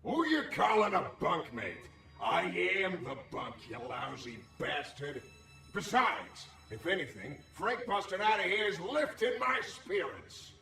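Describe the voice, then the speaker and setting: A scheming, wisecracking game anomaly, talks in lively swoops with a cocky, sardonic bite. The voice rings in a roomy space, a little away from the mic. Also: male voice, high-pitched, unhurried, creaky, soft background music, heavily acted. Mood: cocky, irritated. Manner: cocky, sardonic, folksy slang. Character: wisecracking, scheming handless anomaly, sly streetwise troublemaker. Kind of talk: video game character acting. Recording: roomy echo, slightly distant.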